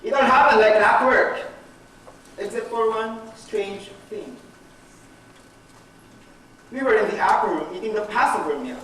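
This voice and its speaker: An actor playing a bitter, guilt-ridden betrayer in a dramatic stage monologue, swinging from muttering to anguished outbursts. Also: male voice, high-pitched, measured pace, long pauses, a bit bright. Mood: secretive, tense. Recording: very echoey hall from far off, faint noise.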